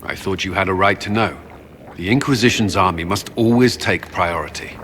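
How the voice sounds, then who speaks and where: An actor playing a solemn, dutiful military commander in a fantasy game, earnest, grave and measured. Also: male voice, low-pitched, a bit bright, a little hiss or hum. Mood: burdened, resolute. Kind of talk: dramatic fantasy game dialogue.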